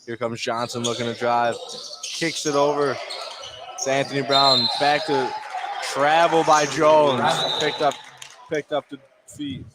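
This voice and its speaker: A man's voice: medium pitch, flat delivery, unhurried. Man calling basketball play-by-play over gym noise. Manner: clipped, terse running call in short phrases. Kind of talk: live sports radio commentary. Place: indoor high school basketball game. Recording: clear background noise of a gym.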